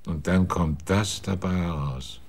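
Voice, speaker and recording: A probing private detective in a radio play. He has a husky, lowish voice and asks short, terse questions. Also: a little slow. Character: probing male private investigator. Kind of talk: radio drama acting.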